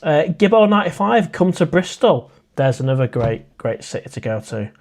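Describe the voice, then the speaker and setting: A man's voice: on the high side, very animated, normal speed. Chatty man hosting a livestream. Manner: chatty and friendly, addresses viewers as mate. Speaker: livestream host. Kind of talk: casual live chat reading viewer comments.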